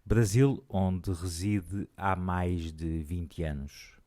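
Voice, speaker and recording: Host: deep-voiced man, formal and measured, introducing a guest. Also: steady tone, warm tone. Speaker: radio interviewer, host. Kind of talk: scripted introduction then interview questions.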